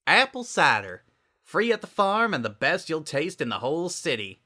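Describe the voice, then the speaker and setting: A man's voice: on the high side, sing-song, moderate pace, sharp tone, pitched above the performer's own voice, heavily acted. A man voicing a down-to-earth teenage farm girl with a drawling delivery. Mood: cheerful.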